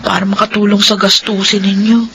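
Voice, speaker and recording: An actress with a Filipino accent playing a burdened but determined young student and daughter in a radio drama, with earnest, emotional delivery. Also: female voice, medium pitch, flat delivery, brisk, fairly bright tone, slight background noise, natural voice. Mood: worried, scolding.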